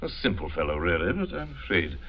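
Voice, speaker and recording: A very deep-voiced aristocratic hunter, courtly on the surface and menacing underneath. His delivery is grand and theatrical, in the style of forties radio drama. The sound is muffled, like an old broadcast. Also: big pitch swings, fairly quick, a little hiss or hum. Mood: suave courtesy. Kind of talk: 1940s radio drama acting.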